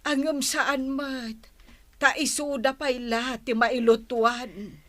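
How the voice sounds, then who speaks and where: A woman's voice: high voice, steady tone, slow pace. A woman playing a fed-up, scornful middle-aged mother, blunt and exasperated, in an audio drama. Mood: bitter, dismissive. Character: fed-up, scornful mother washing her hands of her son. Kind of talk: Ilocano radio-style audio drama acting.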